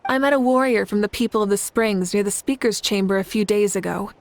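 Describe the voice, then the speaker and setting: An actress playing a confident, composed heroic leader with a playful side in a video game, speaking in an assured, wry manner. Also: female voice, medium pitch, a little fast, lightly acted. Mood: earnest, assured. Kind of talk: video game character dialogue. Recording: music behind the voice.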